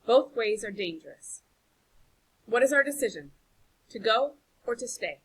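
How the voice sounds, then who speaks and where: Orchard keeper, male, read by a woman: storybook, decisive, measured, husky edge. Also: a little high, animated, poor audio. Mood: grave.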